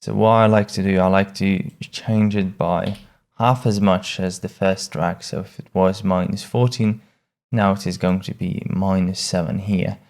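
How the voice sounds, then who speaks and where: Slow, low-voiced man giving a tutorial. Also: little pitch movement, vocal fry, darkish tone. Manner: hesitant, halting delivery with repeated words. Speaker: tutorial presenter. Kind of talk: casual software tutorial narration.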